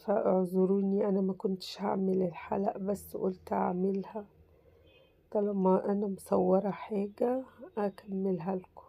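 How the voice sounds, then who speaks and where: A woman with an Egyptian Arabic accent, hoarse from a cold, casually talking through a simple craft tutorial and reassuring viewers that it is very easy. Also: medium pitch, flat delivery, normal speed, no room sound, lo-fi sound. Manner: chatty, reassuring, repeats "very easy". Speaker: crafts channel host.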